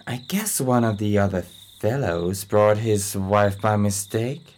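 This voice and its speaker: A man's voice: on the low side, takes it slowly, a little mellow. An actor playing a timid, wimpish wealthy man in a radio play, with a hesitant, anxious manner. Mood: nervous.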